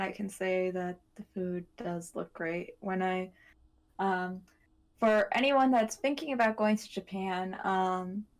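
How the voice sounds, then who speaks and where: A woman hosting a podcast, talking casually and informatively in a halting, matter-of-fact way. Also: mid-range voice. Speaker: podcast host.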